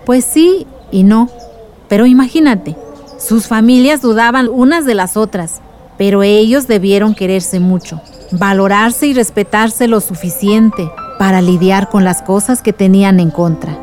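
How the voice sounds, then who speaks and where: A woman playing a warm, reflective village aunt who recounts the past in poetic phrases in a radio drama. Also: mid-range voice, unhurried, faint music behind, natural voice.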